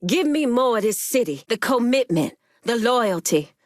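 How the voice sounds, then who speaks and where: An actress voicing a proud, commanding woman crime boss in a video game, with a firm, deliberate and authoritative delivery. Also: fairly high pitch, very animated, no room sound, studio quality. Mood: composed, assured.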